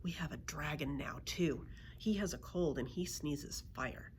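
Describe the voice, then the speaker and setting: A woman's voice: deep voice, unhurried, a little hiss or hum. A woman voicing a kind, patient young girl in a children's storybook, encouraging and careful. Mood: cautious, friendly. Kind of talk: children's storybook read-aloud.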